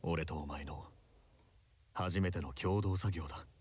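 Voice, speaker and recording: A man voice acting a gruff, reserved, quietly tender man in a visual novel, with a husky voice. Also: sits low, a little fast, no room sound. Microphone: close, dry studio mic.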